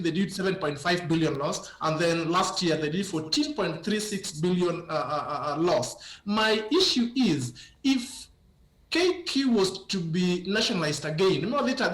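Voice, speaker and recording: A man with a cold, speaking as a business analyst in a live news interview, halting and repeating words often over a remote link. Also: sits high, a bit bright, roomy sound, poor audio. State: has a cold.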